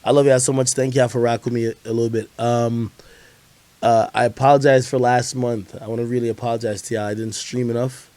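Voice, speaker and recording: A man's voice: mid-range voice, level tone, brisk, a little mellow, dry recording. A man streaming hip-hop commentary, talking in loose, profane slang and often repeating phrases as he answers viewers. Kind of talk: casual livestream chat answering viewer questions.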